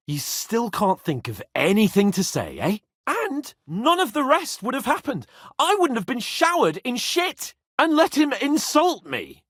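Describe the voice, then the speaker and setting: A man's voice: very high voice, fairly bright tone, slightly rough, dry recording, clean studio sound, exaggerated voice. An actor playing a haughty, hot-tempered young medieval nobleman in a video game, with a petulant, exclamatory manner. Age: young adult.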